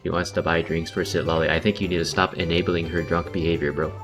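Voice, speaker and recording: Low-voiced slangy male streamer. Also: fairly even intonation, quick pace, over music. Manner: slangy, swearing, chatty. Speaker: game streamer. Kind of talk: casual livestream commentary.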